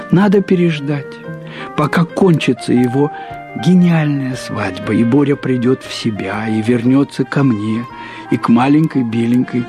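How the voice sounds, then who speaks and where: A man reads a literary story aloud in the first person, expressively, with deliberate phrasing, drawn-out words and pauses. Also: medium pitch. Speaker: narrator reading a short story aloud.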